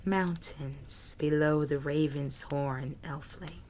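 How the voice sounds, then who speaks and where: A low, rasping reptilian creature, as a woman puts him on, spitting out slow, broken phrases with whispered hisses. He sounds bitter and vengeful, a menacing figure from an audiobook fantasy. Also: steady tone, a little mellow. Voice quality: rasping, hissing. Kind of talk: audiobook character voice.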